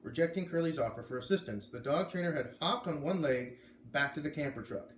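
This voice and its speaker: Animated man reading aloud, echoey room. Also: on the high side, brisk. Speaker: storybook reader. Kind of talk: expressive read-aloud narration. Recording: echoey room, faint background noise.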